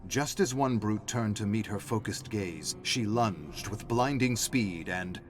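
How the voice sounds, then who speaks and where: A man's voice: lowish voice, measured pace, soft background music. A man reading a story as a narrator, in a dramatic scripted style.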